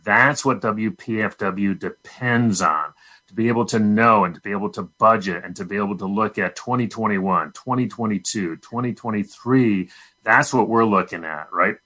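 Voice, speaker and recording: Guy hosting a radio pledge drive, deepish but really bright punchy voice, pushing listeners hard. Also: moderate pace. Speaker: radio show host.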